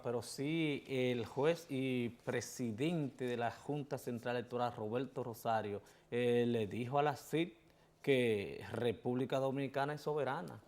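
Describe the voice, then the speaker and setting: A man's voice: medium pitch, unhurried, a little mellow. A man hosting a talk show, breaking in with brief affirmations and counterpoints to his guest.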